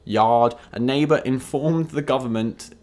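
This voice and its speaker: A man's voice: medium pitch, measured pace, a little mellow. Sarcastic young English man vlogging. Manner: sarcastic, mock-outraged. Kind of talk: comedic news commentary.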